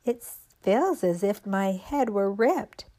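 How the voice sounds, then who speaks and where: A woman's voice: mid-range voice, expressive, takes it slowly, mellow. A woman voicing a brave, eager rag doll girl in a storybook, exclaiming earnestly.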